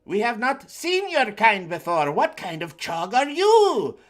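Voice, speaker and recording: A man's voice: high-pitched, huge pitch swings, measured pace, a bit bright, voice pushed up, exaggerated voice. A man voicing a formal, eager bird creature welcoming strangers in a read-along.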